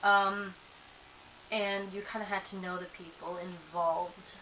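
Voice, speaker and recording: A woman's voice: medium pitch, level tone, slow pace, fairly bright tone, poor audio. A young woman whose first language is Swedish, chatting casually to camera, rambling with lots of fillers and restarts, a bit sleepy. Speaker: vlogger. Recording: faint hiss.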